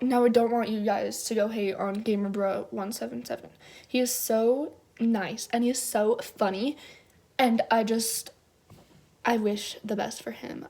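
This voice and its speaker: Slow, mock-sorrowful young woman. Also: on the high side, creaky, a little mellow. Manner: slow, mock-tearful, overly earnest. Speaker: youtuber. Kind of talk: parody apology video.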